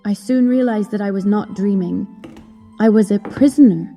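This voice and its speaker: A young opera singer played by a woman tells her gothic tale with earnest, measured wistfulness. Her tone is very dark and mellow at a medium pitch. Long reverb surrounds the voice, and music plays behind it. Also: natural voice. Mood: subdued unease. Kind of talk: dramatic first-person storytelling in an audio drama.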